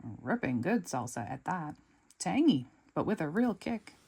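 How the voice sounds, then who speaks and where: A woman reading a storybook aloud, voicing a stern, stuffy older male spy boss who speaks low and clipped. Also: very animated, a little slow, gravelly, mellow, husky, full character voice. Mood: appraising.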